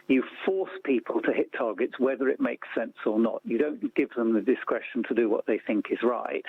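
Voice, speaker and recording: Man, thin telephone-style audio, husky voice, measured explanatory interview answers, repeats words for emphasis. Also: mid-range voice, no room sound. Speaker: interview guest, policy campaigner. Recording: thin phone-like line.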